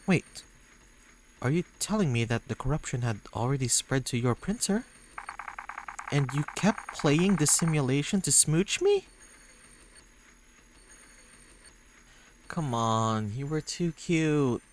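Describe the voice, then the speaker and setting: A man's voice: a little high, expressive, unhurried, a little hiss or hum, pitched above the performer's own voice, poor audio. A man voicing an earnest, sweet, anxious and lovestruck character from a visual novel game. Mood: surprised, flirty. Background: background music.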